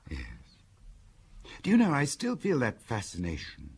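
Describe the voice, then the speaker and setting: An actor playing a dreamy, wistful gentleman in a radio drama, with a husky voice, savouring his words. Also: medium pitch, huge pitch swings, moderate pace, slight background noise. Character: Georges, male fellow traveller, wistful and dreamy.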